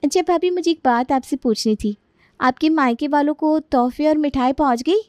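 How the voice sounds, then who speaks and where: Woman as a high-voiced suspicious young bride. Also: normal speed, darkish tone, no room sound, studio quality, natural voice. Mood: questioning.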